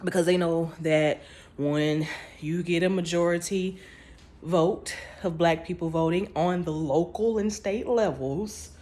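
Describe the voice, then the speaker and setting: Low-voiced woman giving casual commentary. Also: a little slow, mellow. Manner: conversational and emphatic, with restarts; informal speech with dropped grammar. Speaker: vlogger.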